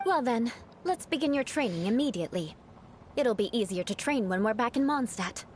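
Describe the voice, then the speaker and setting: A woman's voice: a little high, expressive, fairly quick, darkish tone, a little hiss or hum, close to an everyday voice. A woman voicing an aloof young noble knight who speaks in a formal, haughty way. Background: game music.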